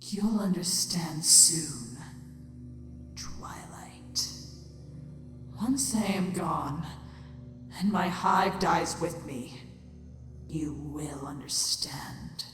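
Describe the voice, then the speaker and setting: A woman playing a menacing, bitter, weary changeling queen in an audio drama, slow and theatrical, often sinking toward a whisper. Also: low voice, fairly bright tone, full character voice. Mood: ominous. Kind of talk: audio drama acting of fan fiction. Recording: very echoey, long hall reverb. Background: music.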